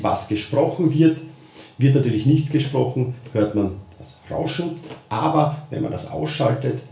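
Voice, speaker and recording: Male Austrian tutorial presenter, mid-pitched voice, informal step-by-step delivery, roomy echoing sound. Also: gravelly, dark tone. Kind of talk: spoken how-to explanation of a gadget.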